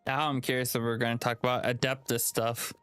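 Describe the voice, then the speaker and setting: A man's voice: medium pitch, flat delivery, a little fast, a bit bright, clean tone, faint music behind. A man streaming a game live, giving casual, rambling commentary as he thinks aloud and chats.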